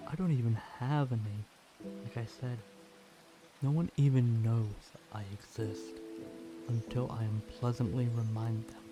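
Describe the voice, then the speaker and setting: A man voicing a clingy, devoted stray catboy in an ASMR roleplay, pleading and submissive, in halting phrases. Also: medium pitch. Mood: submissive, eager. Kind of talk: ASMR roleplay monologue addressed to the listener. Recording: loud music and rain behind. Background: music and rain.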